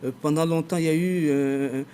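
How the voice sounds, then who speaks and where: A man's voice: fairly high pitch, steady tone, normal speed, dark tone, a little hiss or hum. Formal official man in long sentences. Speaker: adviser in the communication minister's office, guest. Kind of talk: TV talk show interview.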